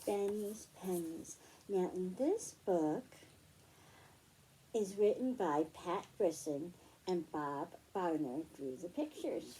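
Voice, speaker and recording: A woman's voice: on the low side, takes it slowly, mellow, a little hiss or hum, low-quality recording. A woman hosting a children's library storytime, reading aloud and explaining things in simple phrases for young listeners.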